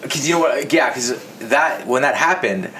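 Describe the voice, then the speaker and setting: A man in his thirties hosting a casual podcast, raspy and hoarse from the flu, animated and joking. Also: mid-range voice, moderate pace, over a noisy crowd. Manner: animated, laughs and jokes, mimics others. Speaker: podcast host. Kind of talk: casual podcast chat over beer.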